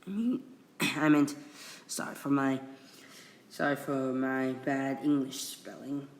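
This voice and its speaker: A man's voice: mid-range voice, steady tone, creaky, a little hiss or hum, poor audio. A young man from Indonesia, an English speaker, casually explaining things he reads off a wiki, stumbling and restarting often. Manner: halting, many restarts and apologies. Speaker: video creator. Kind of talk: casual screen-recorded explainer reading from a wiki.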